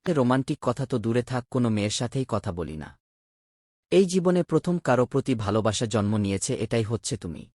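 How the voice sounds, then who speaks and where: A man's voice: mid-range voice, fast talker, no echo, studio quality. A man voicing a lovestruck village boy. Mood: tender. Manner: lively, romantic declarations. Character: young village boy in love, earnest. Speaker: narrator and lead character. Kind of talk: Bangla cartoon voice acting.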